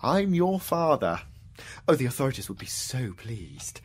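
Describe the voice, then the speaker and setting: An actor playing a dead wartime mathematician in a radio drama, a wry, wounded intellectual who swings from hushed to outburst. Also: male voice, medium pitch, big pitch swings, unhurried, a little hiss or hum. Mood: bitterly amused.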